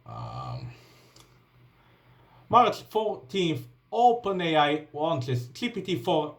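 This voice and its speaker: Slow, hesitant Bulgarian-accented man. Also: fairly high pitch. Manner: hesitant, many ums and uhs, restarts while reading. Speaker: vlogger. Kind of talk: reading events aloud from a laptop.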